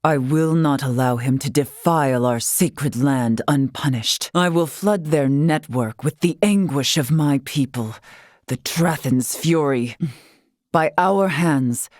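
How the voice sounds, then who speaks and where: A dignified female warrior-scholar from a video game, with a fairly low voice. She speaks in stately, old-fashioned, carefully formal phrasing. The recording is dry, with no room sound. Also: slow pace, a little mellow, dry recording. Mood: grave, seething. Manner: stately, archaic formal diction. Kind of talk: scripted video game character lines.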